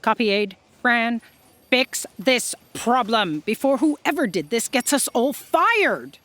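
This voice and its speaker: Woman as imperious boss, animated high voice. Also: slow, heavily acted. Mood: commanding. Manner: pompous, authoritative. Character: imperious, self-serving female boss of cosmic bureaucracy. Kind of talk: scripted audio comedy drama acting.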